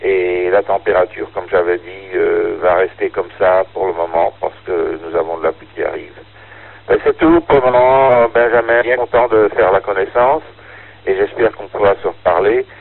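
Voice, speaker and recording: A man chatting casually as an amateur radio operator, haltingly searching for words, over a narrow radio link. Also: low-pitched. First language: French. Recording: tinny band-limited ham radio transmission.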